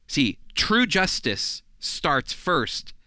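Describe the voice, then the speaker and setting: A man hosts a radio Bible program, teaching in an earnest, sermon-like way. His voice is bright and forceful and sits a little high. He stresses key words emphatically while staying conversational, and the sound is close and dry. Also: sing-song, extremely slow, no room sound.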